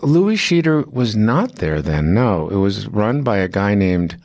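A man's voice: on the low side, sing-song, unhurried, darkish tone, dry recording, clean studio sound. A man in his fifties, an acting teacher, telling long stories in an interview, rambling with restarts and fillers. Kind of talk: casual interview storytelling.

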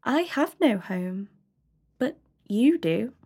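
A woman voicing a gentle, sad otherworldly young boy, speaking softly and quietly. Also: a little high, animated, slow, slightly rough, exaggerated voice. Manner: soft, quiet delivery.

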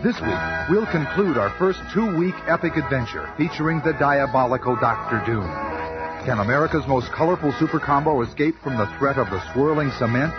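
Bombastic male announcer over loud music. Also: mid-range voice, expressive, brisk. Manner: theatrical, bombastic. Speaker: show announcer. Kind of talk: bombastic scripted 1970s radio announcing. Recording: muffled old radio recording, loud music.

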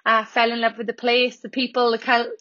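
Welsh woman in her thirties, chatty guest with lots of ums, bright higher voice, slightly dull lo-fi sound. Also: completely flat delivery, fairly quick. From Wales. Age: 30s. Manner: chatty, with frequent ums and restarts. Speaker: podcast guest, business founder. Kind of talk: casual interview chat.

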